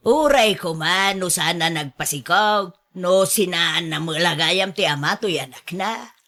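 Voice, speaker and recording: Slow-talking, fretful grandmother, acted by a woman. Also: on the low side, fairly bright tone. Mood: gossiping, regretful. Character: older Filipino mother and grandmother, fretful, scolding, caring. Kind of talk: radio drama acting.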